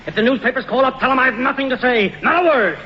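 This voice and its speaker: A man's voice: very high-pitched, fast talker. A man playing a blustering, panicky film studio boss in 1940s radio drama style, barking and exasperated. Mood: agitated. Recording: dull, very noisy old radio recording.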